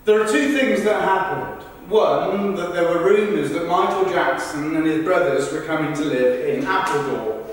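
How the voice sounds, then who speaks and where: A man with a fairly high voice performs a rehearsed documentary-style stage monologue. He is well away from the microphone, and the large room wraps his words in heavy echo and long reverb. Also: moderate pace. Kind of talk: rehearsed verbatim theatre monologue. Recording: distant, very echoey rehearsal hall.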